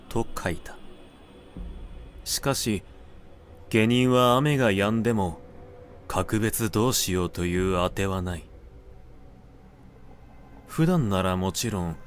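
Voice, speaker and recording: A man narrating a literary audiobook in a measured, deliberate manner with dramatic pauses. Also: lowish voice, a little hiss or hum. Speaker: narrator reading literature aloud.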